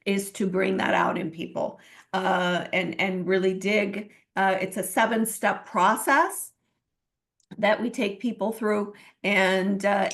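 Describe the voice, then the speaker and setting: A woman's voice: medium pitch, creaky. A woman from Iran, a transformational coach, answering interview questions in an earnest way with many fillers and restarts.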